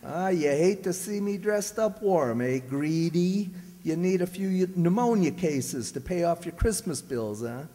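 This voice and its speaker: A man acts out a blustery, grumbling husband in a radio comedy, his voice on the high side. He wisecracks in folksy slang, always a little exasperated. The recording has the long echo of a hall. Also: animated, measured pace, warm tone. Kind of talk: old-time radio comedy acting. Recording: echoey hall stage recording.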